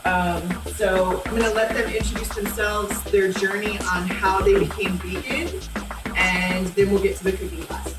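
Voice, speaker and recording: A woman hosts a cooking show, giving friendly, straightforward introductions. Loud music plays behind her voice. She was recorded from afar in an echoey room. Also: mid-range voice, fairly even intonation, normal speed. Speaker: host and supervisor. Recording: echoey room from a distant mic.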